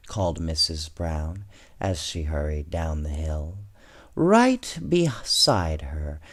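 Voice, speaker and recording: A man reading children's storybooks aloud in an expressive, measured way, with casual asides to his young listeners. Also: sits low. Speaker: bedtime story reader, host.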